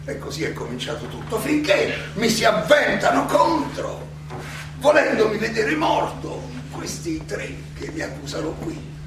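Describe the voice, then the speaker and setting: An actor playing a defiant, ironic old philosopher defending himself at trial, in a theatrical monologue full of rhetorical questions and dramatic pauses. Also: male voice, sits high, huge pitch swings, full character voice. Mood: grave, resolute. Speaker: stage actor. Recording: echoey, distant, noisy stage recording.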